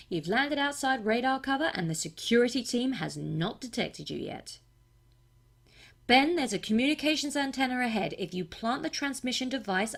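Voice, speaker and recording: A woman playing a brisk, focused tech operative who guides an agent in a video game. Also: a little high, vocal fry, full character voice. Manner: brisk, businesslike.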